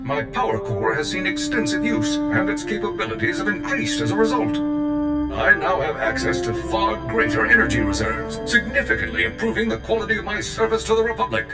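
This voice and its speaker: Proud, deep-voiced combat droid. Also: male voice, steady tone, fairly quick, gravelly. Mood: proud, eager. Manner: formal, precise, earnest. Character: proud, zealous, loyal military combat droid. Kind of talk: scripted video game acting. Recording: game cutscene with echo and music.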